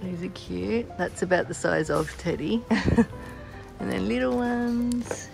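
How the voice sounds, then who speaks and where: A woman's voice: medium pitch, steady tone, slow, vocal fry, music in the background, rough recording. An Australian woman chatting casually as she films a shopping vlog in a large store, often laughing. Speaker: vlogger. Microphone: handheld phone camera.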